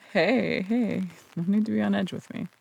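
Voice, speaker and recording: A performer playing a flirtatious, teasing phantom thief in an intimate roleplay audio drama, breathy and coy, with casual, earnest turns. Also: medium pitch, a little mellow, full character voice, low-quality recording. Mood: playful.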